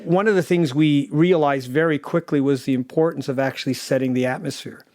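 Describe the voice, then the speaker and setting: Man, slightly high voice, dry close sound, conversational producer in an interview, storytelling. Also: a little fast, darkish tone, no echo. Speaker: audio drama producer.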